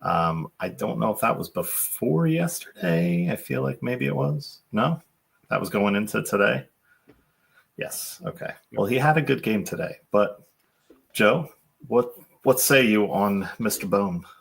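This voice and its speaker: A man co-hosts a casual fantasy baseball chat with a low voice that has a gravelly, husky texture. He delivers dry, deadpan wisecracks and reads out numbers flatly. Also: a little slow. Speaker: co-host.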